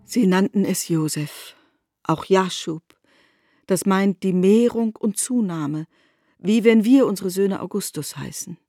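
A woman narrating a literary radio drama as a knowing storyteller, in a measured, articulate manner. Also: mid-range voice, mellow, dry recording. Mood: explanatory.

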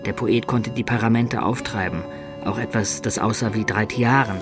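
Actor as a storytelling adventurer over clear music. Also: male voice, lowish voice, fairly even intonation, moderate pace, lightly acted. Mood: narrating. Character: Baudolino, young adventurer recounting his tale. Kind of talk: German radio play narration and dialogue.

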